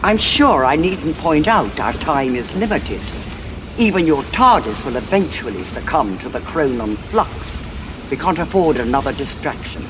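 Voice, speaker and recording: A woman's voice: deep voice, sing-song, normal speed, over music. An actress playing a cold, scheming female Time Lord cardinal in an audio drama, speaking in a commanding, cutting way.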